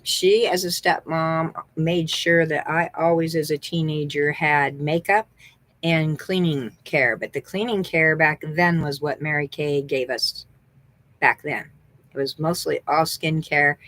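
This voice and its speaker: A middle-aged woman from the American Southwest with a Texan accent, chatting upbeat as a beauty consultant and thinking aloud while she looks things up. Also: deep voice. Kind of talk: casual sales vlog.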